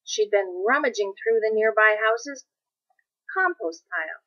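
A woman reading a children's story aloud as expressive scripted narration. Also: mid-range voice, measured pace, no echo, muffled recording. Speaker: storybook reader.

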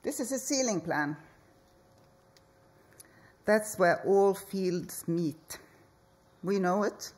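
A woman speaks as an architect presenting at a conference, her pitch sitting in an ordinary middle range. She talks slowly and deliberately, leaving pauses between short phrases. She speaks into a podium microphone on a hall stage. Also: expressive, darkish tone. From Norway. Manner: slow, measured delivery with deliberate pauses. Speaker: architect and project leader.

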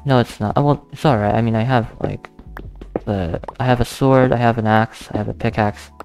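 A young man who is not a native English speaker, hosting a casual gaming let's play, thinking aloud with hesitant fillers and counting softly to himself. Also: medium pitch, steady tone, a little fast. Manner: hesitant, thinking aloud, counting under his breath, nervous laughs, easily spooked. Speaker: gaming channel host. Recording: faint background music under the voice. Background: soft background music.

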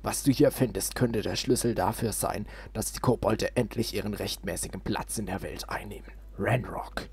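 A man's voice: lowish voice, steady tone, moderate pace, few pauses, darkish tone, breathy edge, whispered. A man voicing a menacing goblin leader in a video game, giving orders in a commanding, sinister way.

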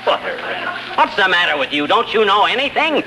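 An actor playing a cocky, argumentative husband in 1940s radio comedy, combative and scrappy. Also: male voice, high-pitched, big pitch swings, brisk, a crowd in the background. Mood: scornful, combative. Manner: combative, scrappy, stammers when flustered. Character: cocky, argumentative small husband, quick with silly insults. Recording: noisy vintage 1940s radio recording.

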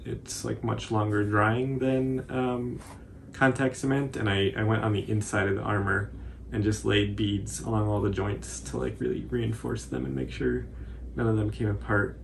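A man in his twenties giving a casual, informal tutorial commentary in a halting, hesitant way. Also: lowish voice, vocal fry, a little hiss or hum. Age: 20s. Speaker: cosplay tutorial presenter.

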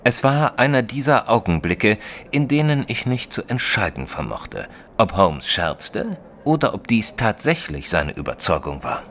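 An actor playing a modest, sensible male companion who narrates a detective audio drama in a measured, literate way. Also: lowish voice, big pitch swings, a bit bright, slight background noise, heavily acted. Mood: reflective. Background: music.

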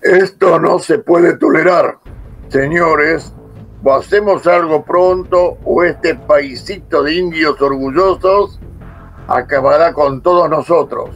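Casting: a man as a slow, menacing, haughty banker villain. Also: fairly high pitch, muffled recording, faint music behind. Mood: scornful. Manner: menacing, scornful. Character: scheming English banker, haughty and contemptuous.

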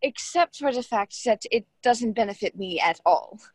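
Young woman playing a high-voiced, haughty society hostess. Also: lively intonation, normal speed, husky, dry recording. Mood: cold, demanding. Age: young adult. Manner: condescending, taunting. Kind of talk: radio play acting.

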